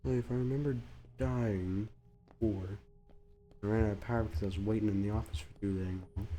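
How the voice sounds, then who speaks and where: A man's voice: on the low side, steady tone, a little fast, warm tone. A young man with a cold, sounding congested, rambling nervously through a horror game on his channel. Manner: nervous rambling, panicky outbursts. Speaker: gaming youtuber. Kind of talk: let's play commentary.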